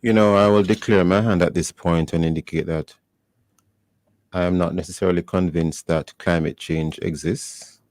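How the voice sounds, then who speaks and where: A man hosting a radio interview, speaking in a measured, formal and wry way. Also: low voice, fairly even intonation, a little mellow, no echo, studio quality. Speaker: radio host.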